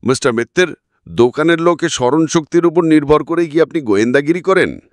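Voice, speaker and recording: A man's voice: fairly high pitch, lively intonation, fast, dry recording, voice pushed up, lightly acted. A man voicing an indignant, evasive suspect in an audio story, defensive, flustered and emphatic. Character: indignant, evasive male suspect protesting his innocence. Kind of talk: dramatic audiobook character reading.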